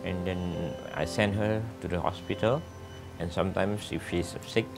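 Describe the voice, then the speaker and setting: A man's voice: deep voice, fairly even intonation, moderate pace, creaky, over music. A man speaking plainly as a caregiver son. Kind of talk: interview testimony.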